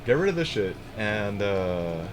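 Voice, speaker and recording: A man's voice: lowish voice, very animated, measured pace, background noise. A young American man casually chatting while playing a game on a live stream, rambling, swearing and restarting his sentences. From United States. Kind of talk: casual gaming stream chat.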